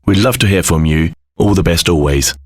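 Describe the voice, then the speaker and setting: A man giving a formal, measured, scripted podcast introduction as a station executive. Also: deep voice, noisy background. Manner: formal, measured promotional delivery. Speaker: radio station managing director.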